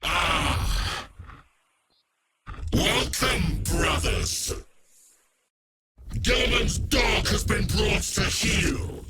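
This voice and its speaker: An actor playing a grandiose, sadistic sorcerer lord in a video game, speaking in a theatrical, mocking, archly formal and menacing way. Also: male voice, sits high, lively intonation, very slow, long pauses, noisy background, heavily acted. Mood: grandiose, commanding. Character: grandiose, sadistic villain sorcerer lord serving a dark god. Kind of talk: dramatic video game villain acting. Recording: loud music behind the voice. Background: loud music.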